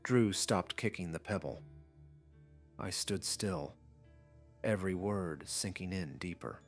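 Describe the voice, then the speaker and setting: A man's voice: low voice, slow pace, faint music behind. A man reading scripted first-person narration for an anime audiobook.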